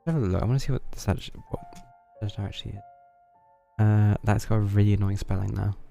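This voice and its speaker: A man with a low voice and a lot of fry chats casually as he streams a game. He mutters to himself, wry and understated, in a dark mellow tone over faint music. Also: fairly even intonation, quick pace. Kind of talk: casual livestream commentary.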